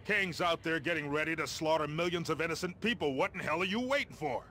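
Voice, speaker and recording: An actor playing a gruff, impatient middle-aged American army colonel in a video game, briefing in a blunt, commanding, wry way. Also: male voice, fairly high pitch, fast, bright, forceful tone, a little hiss or hum. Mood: stern, impatient. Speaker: military officer giving mission briefings.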